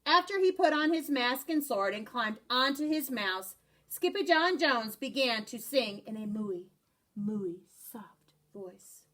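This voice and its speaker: A woman, a first-grade teacher, reading a picture book aloud in an expressive, animated way. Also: fairly high pitch, a little slow.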